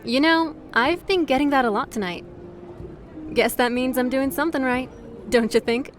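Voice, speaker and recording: Casting: actress as affable female busker for a game, fairly high voice, clear outdoor street noise behind. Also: normal speed, close to an everyday voice. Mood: cheerful, easygoing. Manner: friendly, easygoing. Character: friendly, easygoing woman street musician at a festival. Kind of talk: visual novel game dialogue. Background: urban outdoor ambience, traffic noise.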